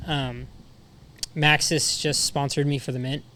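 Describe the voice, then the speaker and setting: A man's voice: medium pitch, flat delivery, a little slow, sharp tone, slight background noise. A man chatting casually as a podcast guest, slangy, profane and self-deprecating. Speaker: podcast guest, motorcycle racer.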